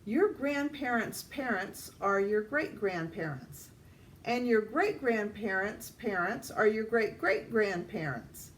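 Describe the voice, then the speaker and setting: An older woman reads a children's picture book aloud in a deliberate way, addressing young listeners. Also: mid-range voice, animated. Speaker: storytime reader. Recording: distant mic, faint background noise.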